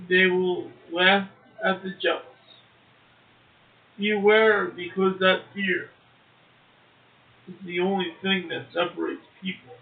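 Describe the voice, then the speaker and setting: A man hosting a poetry show, speaking and reading verse in a slow, halting way with drawn-out phrases. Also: sits high, gravelly. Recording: tinny phone line, echoey.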